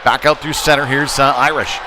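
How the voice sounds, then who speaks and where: A man giving live ice hockey play-by-play in short clipped calls with hesitant pauses. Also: mid-range voice, level tone. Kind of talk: live sports commentary. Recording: clear background noise, live rink ambience. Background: ambient sports venue noise.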